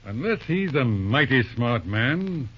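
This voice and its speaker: An actor in an old radio drama playing a shrewd, genial older judge who chuckles, hums and drawls thoughtfully. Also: male voice, mid-range voice, very animated, slow pace, breathy edge, exaggerated voice. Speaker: lawyer uncle. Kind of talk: 1930s radio drama acting. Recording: dull lo-fi old radio recording, faint hiss.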